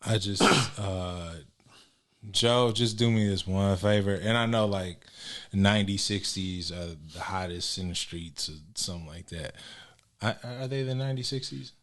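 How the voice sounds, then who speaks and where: A man from Maryland co-hosting a casual podcast, talking in a laid-back way that often drops into low, quiet asides. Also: measured pace. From Maryland, United States. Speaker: podcast co-host. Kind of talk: casual chat.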